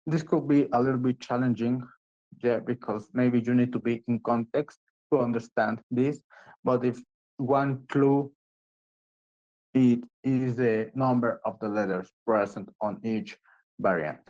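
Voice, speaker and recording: A man speaks at a steady, medium pitch as he wraps up the conclusions of a presentation. The recording sounds dull and lo-fi. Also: measured pace. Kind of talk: closing a recorded talk.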